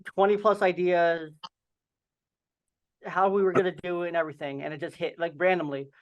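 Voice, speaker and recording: A young man talks in a loose, rambling way and leans on lots of fillers, with a slight lisp and the odd stutter. His voice sits a little high and comes through smooth and resonant. The video-call audio sounds dull and lo-fi. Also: level tone, moderate pace. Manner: rambling, filler-heavy storytelling. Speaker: podcast host and guest. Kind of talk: casual video-call interview.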